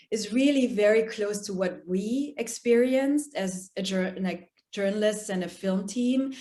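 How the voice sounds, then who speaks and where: A woman documentary filmmaker who grew up in Germany, fielding questions at a festival Q&A. Her voice sits at a middle pitch, and she circles her points with fillers and restarts. The sound has a roomy echo around it. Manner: many fillers and restarts, animated. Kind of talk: festival Q&A interview.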